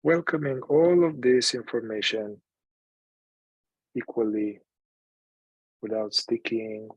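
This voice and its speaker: A man leading a guided meditation in a calm, unhurried manner, leaving long pauses between phrases. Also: fairly low pitch, no room sound, lo-fi sound.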